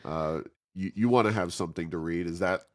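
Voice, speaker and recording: A man's voice: deep voice, a little fast, darkish tone. A man with an American accent hosts a casual interview, asking meandering, conversational questions with many hesitations and ums. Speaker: interview host.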